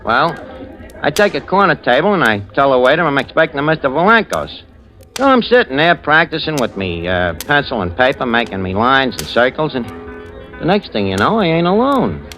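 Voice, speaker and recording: An actor playing a shifty, wisecracking New York crook who talks in streetwise slang, in 1940s radio style. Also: male voice, medium pitch, very animated, a little fast, sharp tone, rough recording. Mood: smug storytelling. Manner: streetwise slangy talk with bad grammar. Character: shifty, wisecracking American crook posing as a gentleman. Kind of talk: 1940s radio acting, first-person narration. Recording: old radio recording with music behind.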